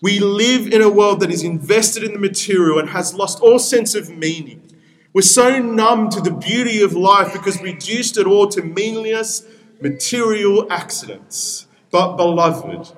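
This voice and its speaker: Earnest Peruvian-born man preaching, fairly high. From Peru. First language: Spanish. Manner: earnest, with repeated appeals and pauses. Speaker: pastor. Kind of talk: sermon.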